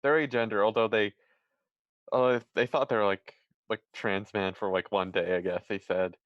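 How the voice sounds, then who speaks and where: A person in casual group chat, relaxed and agreeable, thinking aloud with a few restarts. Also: male voice, lowish voice, moderate pace, no room sound, highs cut off. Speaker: podcast host.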